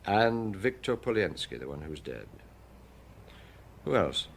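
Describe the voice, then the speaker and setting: A man acting in a British radio detective drama as an insistent, authoritative police chief who questions briskly and with impatience. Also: low-pitched, big pitch swings, gravelly, exaggerated voice. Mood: pressing, methodical. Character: authoritative male police chief, probing, impatient, menacing interrogator.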